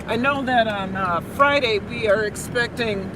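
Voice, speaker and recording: A woman's voice: fairly high pitch, a little slow. A woman chatting casually on her daily video blog, feeling feverish after a flu shot, talking while driving on a winter road. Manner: chatty, rambling, laughs at herself. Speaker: vlogger. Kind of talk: casual chat. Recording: far from the mic, loud road noise.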